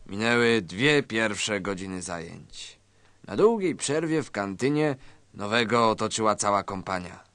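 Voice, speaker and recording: A man's voice: fairly low pitch, expressive, unhurried, a bit bright, no room sound. A man narrating a satirical story in a scripted, dramatic storytelling style.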